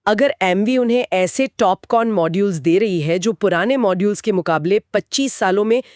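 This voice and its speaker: A woman's voice: medium pitch, normal speed, a little mellow, no room sound, studio quality. A woman with an Indian accent in a conversational explainer podcast, speaking in an assured, explanatory way as co-host. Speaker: co-host explaining company financials.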